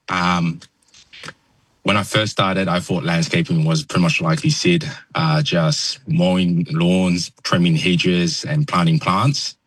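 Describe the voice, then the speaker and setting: A young man from New Zealand with a New Zealand accent, a soft-spoken, halting radio interview guest who fills pauses with ums. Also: sits low, slightly rough, no echo. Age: young adult. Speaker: guest landscaper.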